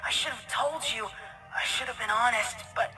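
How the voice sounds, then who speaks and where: A woman's voice: on the high side, normal speed, sharp tone, faint music behind, poor audio. A woman playing a desperate, protective female pony in an audio story, emotional and pleading. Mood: remorseful, pleading.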